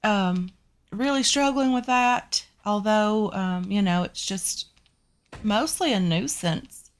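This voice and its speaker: A woman's voice: mid-range voice, lively intonation, slow pace. Southern gamer lady, stuffed up w a sinus thing, rambly chill commentary, lots of ums. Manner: chatty, addresses viewers as guys, frequent ums. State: congested from a sinus infection. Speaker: gaming channel host. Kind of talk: casual game commentary.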